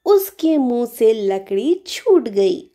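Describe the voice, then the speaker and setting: A woman's voice: fairly high pitch, very animated, slow, dark tone. A woman narrating a scripted children's fable as a storyteller.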